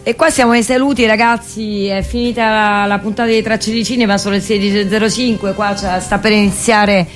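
A woman hosting a radio music show, speaking off the cuff in a halting way with frequent hesitations. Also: mid-range voice, fairly even intonation. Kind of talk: unscripted radio music presentation.

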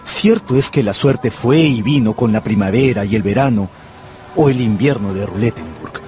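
A man's voice: fairly low pitch, expressive, moderate pace, mellow, faint music behind, lightly acted. An actor playing a passionate gambler, narrating his luck and obsessive love in a fervent, literary radio drama style. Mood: excited, reflective.

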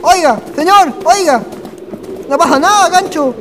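Man playing a very high-voiced Chilean traveller. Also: lively intonation, measured pace. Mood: insistent. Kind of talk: radio drama acting. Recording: noisy ambient radio drama recording.

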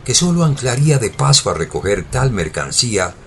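A man's voice: mid-range voice, very animated, moderate pace, a bit bright. A man narrating a scripted audio drama in a formal, literary storytelling manner. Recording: clear background ambience noise.

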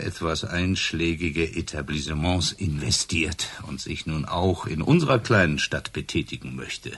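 An actor playing a hard-drinking, sardonic police inspector in a radio crime drama, accusing and probing with sarcasm and theatrical pauses. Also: male voice, deep voice, fairly bright tone, husky, exaggerated voice.

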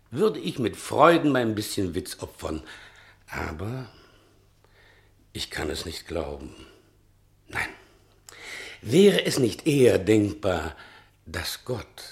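An actor playing a sly, pious tavern servant in a theatrical 1960 radio play, musing in a declamatory, mock-solemn way. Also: male voice, lowish voice, creaky, full character voice.

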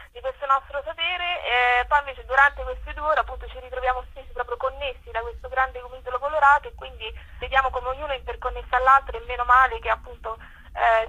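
A woman speaks as an interview guest. Her voice sits fairly high and is strikingly bright, with a raspy grain. She explains things in a conversational way, as an organiser presenting a project. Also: poor audio.

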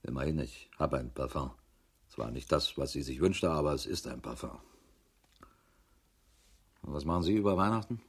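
An actor in a radio crime drama playing a sardonic, world-weary police chief in his fifties with a husky voice, grumbling as he talks. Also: extremely low pitch, normal speed, pauses often, exaggerated voice. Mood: dry, weary. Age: 50s.

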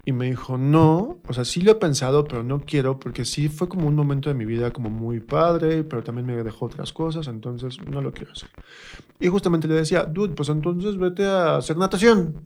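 A man's voice: fairly high pitch, a little fast, darkish tone. Mexican man chatting in a casual interview. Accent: central Mexican. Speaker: radio guest, actor and theatre teacher.

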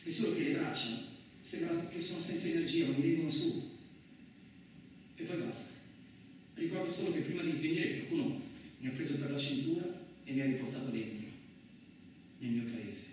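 A man's voice: fairly high pitch, very animated, moderate pace, pauses often, far from the mic. A man performing a colloquial first-person dramatic monologue for radio theatre. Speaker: stage actor performing a monologue. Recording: very echoey room, off-mic, faint noise.

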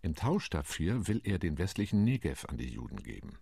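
An actor playing a calm, tactical delegate on a partition committee, speaking in a radio play monologue. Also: male voice, deep voice, a little fast, vocal fry, husky, no room sound, studio quality, full character voice. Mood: measured.

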